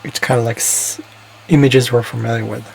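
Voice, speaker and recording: A man's voice: medium pitch, fairly even intonation, fairly quick, vocal fry, a little hiss or hum, poor audio. A man talking alone in a casual, reflective monologue, rambling and hesitant, often restarting his sentences. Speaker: vlogger.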